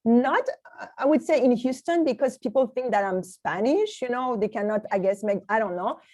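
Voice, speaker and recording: A woman from France speaks English with a French accent in a fairly high voice. Her delivery is conversational and emphatic, like a language teacher sharing her own experience in a webinar. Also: lively intonation, moderate pace, a little mellow. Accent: French, Parisian. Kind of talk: conversational webinar talk with personal anecdotes.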